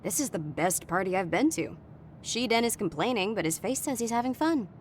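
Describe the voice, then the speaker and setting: A woman's voice: mid-range voice, expressive, fairly quick, a little hiss or hum, lightly acted. Woman as a wry, nonchalant young woman. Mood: dry, unbothered. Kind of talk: anime-style game voice acting. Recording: faint music behind the voice.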